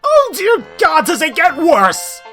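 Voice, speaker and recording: A woman presents a scripted comedic review in a bright, lively voice. Her pitch moves around a lot as she rants bluntly and swears with exasperation. Faint music plays behind her. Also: takes it slowly. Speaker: host of a cartoon review show.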